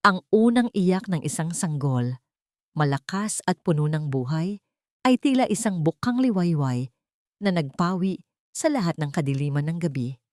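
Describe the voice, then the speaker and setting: Low-pitched woman narrating a dramatic story. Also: expressive, moderate pace, no room sound, studio quality.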